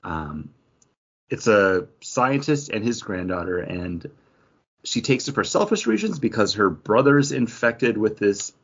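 A man with a raspy, fry-laden voice chats casually as a podcast co-host. He thinks aloud haltingly while reviewing comics, and the audio is a little muffled. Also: on the low side, very animated, a bit bright.

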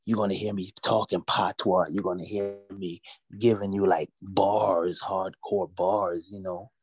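A man in his forties from Jamaica, raised in Brooklyn, chatting as an interview guest, relaxed and full of "you know.". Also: low-pitched, measured pace. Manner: relaxed, repeats himself, many "you know"s. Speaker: guest musician. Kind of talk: casual interview talk.

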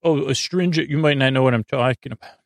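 A man hosting a sleep podcast, rambling in a meandering, stammering monologue with frequent digressions and a gravelly, creaky voice. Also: mid-range voice, fast talker, dry recording, clean studio sound. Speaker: podcast host.

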